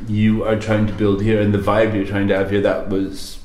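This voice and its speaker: A man with a low voice asks questions in a relaxed, rambling way, full of fillers and false starts. The room around him is echoey. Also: normal speed. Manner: rambling, hesitant, many fillers and restarts. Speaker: interviewer. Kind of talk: casual conversational interview.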